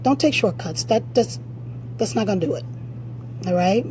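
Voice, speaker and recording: A woman fitness coach with a raspy voice, giving blunt, direct motivational advice during a live-stream Q&A on a phone. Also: medium pitch, expressive, normal speed, dark tone, slight background noise.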